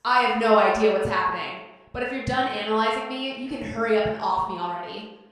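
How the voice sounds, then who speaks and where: Woman playing hot-tempered young anime fighter, highish voice, brash, scornful, defiant, drenched in echo. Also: quick pace, a bit bright, close to an everyday voice. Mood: angry scornful. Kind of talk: anime fan dub acting. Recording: very echoey, reverberant.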